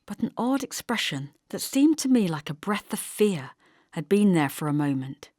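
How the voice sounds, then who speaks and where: A woman's voice: on the low side, no echo, clean studio sound. A woman narrating a novel as an audiobook, reading expressively from a script.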